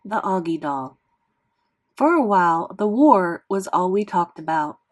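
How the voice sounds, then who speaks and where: A woman reads a children's novel aloud in expressive classroom read-aloud narration, like a teacher with a class. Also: fairly low pitch, a little slow.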